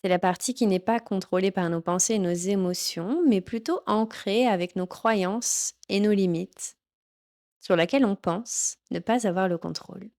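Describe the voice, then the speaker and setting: A woman teaching yoga nidra, leading a guided relaxation in a gentle, soothing, instructional manner. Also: medium pitch, normal speed. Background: background music.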